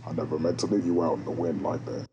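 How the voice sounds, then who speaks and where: A man playing a young adult brother in an animated visual novel, speaking in heartfelt slangy dialogue. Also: low voice, fast, lo-fi sound, background noise, natural voice. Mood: grave, subdued. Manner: profane, slangy, confrontational. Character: two young adult brothers, one brash and aggrieved, one grave. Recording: music under the dialogue.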